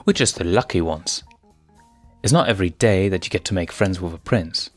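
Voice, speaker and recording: A man's voice: low-pitched, moderate pace, faint music behind. A man voicing a cocky young male lion cub prince in a storybook, breezy and self-assured. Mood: breezy, proud.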